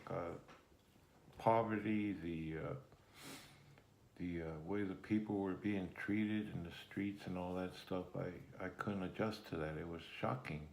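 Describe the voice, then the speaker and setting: A man in his sixties from Puerto Rico, whose first language was German, slowly recalling his childhood in a halting way. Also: low voice, vocal fry, darkish tone, slight background noise. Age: 60s. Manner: halting, with many pauses and restarts. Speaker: interviewee. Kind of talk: casual recollection.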